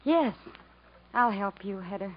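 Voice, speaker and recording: A woman's voice: mid-range voice, expressive, unhurried, lightly acted. An actress playing a worn-down, fatalistic woman on the run, wistful and wry, in 1950s radio drama style. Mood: wistful, resigned. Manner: wistful, wry, world-weary. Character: worn-down, bitter, fatalistic woman fleeing through the jungle. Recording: muffled, hissy 1950s radio broadcast.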